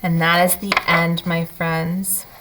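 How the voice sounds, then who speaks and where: A woman teacher reading to children and guiding them through a lesson, expressive and speaking to them directly. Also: on the low side, slow pace, very noisy. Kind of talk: children's read-aloud and lesson.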